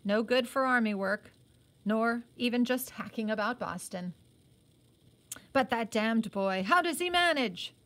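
A woman's voice: a little high, measured pace, a bit bright. A woman reading aloud as a blustering army colonel in a storybook, with clipped, demanding questions. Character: blustering, red-faced old British colonel.

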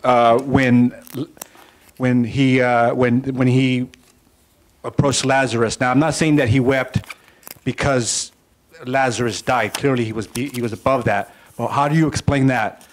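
A man asking a pastor a question about scripture, halting and restarting as he works his way to the point. His voice is at an ordinary male pitch, nothing marked. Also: steady tone. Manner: hesitant, many restarts. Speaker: audience member asking a question.

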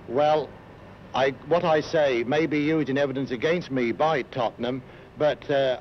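A British policeman delivers a formal statement to camera in an official, halting way. His mid-pitched voice sits on a dull 1950s film recording with faint outdoor noise. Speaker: police superintendent.

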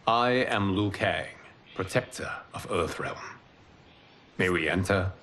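An actor playing a calm, formal male god of fire and protector in video game cutscenes. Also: low voice, slow pace, a little hiss or hum. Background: music.

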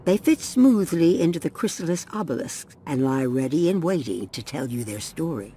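A man playing a dramatic storybook narrator in a fantasy game, theatrical and expressive. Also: fairly high pitch, normal speed, slight background noise, lightly acted. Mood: mysterious, suspenseful.

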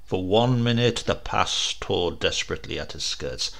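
A man narrating a horror story in a theatrical, expressive way, with deliberate drawn-out pauses. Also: deep voice, creaky. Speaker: audiobook narrator.

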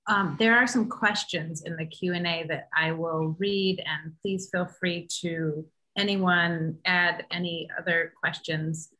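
A middle-aged woman with a low, bright, forceful voice moderates a video call panel. She speaks briskly and reads audience questions aloud. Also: steady tone, low-quality recording. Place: large studio classroom. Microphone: video call webcam.

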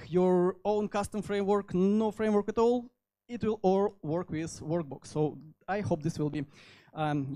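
Hesitant Russian-accented man, mellow voice. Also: high voice. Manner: halting delivery with frequent hesitations. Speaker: conference speaker, software developer. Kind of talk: informal technical presentation. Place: indoor meetup venue. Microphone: handheld microphone.

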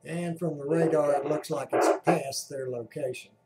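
A man giving casual explanatory commentary as a radio hobbyist, halting and often clearing his throat. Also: on the high side, steady tone, loud music behind the voice. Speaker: amateur radio operator, narrator. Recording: roomy echo, clear background noise.

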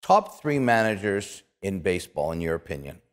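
Low male voice, talk show host, casual sports chat, echoing room. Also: very animated, moderate pace. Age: middle-aged. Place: small indoor studio set with couches.

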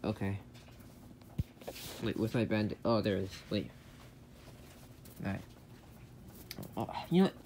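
A man's voice: lowish voice, darkish tone, a little hiss or hum. A man voicing plush-toy characters in plain, everyday conversation. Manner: slow, plain conversational delivery. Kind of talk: amateur plush-toy drama dialogue.

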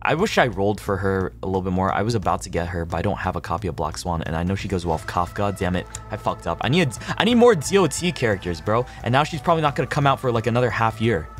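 Deep-voiced young man streaming games. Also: sing-song, quick pace, hardly any pauses, faint music behind. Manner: slangy, sweary, laid-back. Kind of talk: casual livestream gameplay chat.

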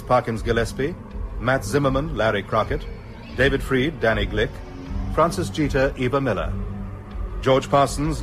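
Guy announcer reading credits, medium pitch, music behind. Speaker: continuity announcer.

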